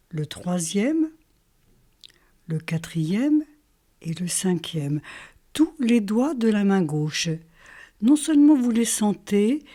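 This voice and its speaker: Slow, mellow-voiced woman guiding meditation. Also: medium pitch. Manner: calm, soothing, short phrases with pauses. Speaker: yoga nidra meditation teacher. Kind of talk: guided relaxation meditation, instructional.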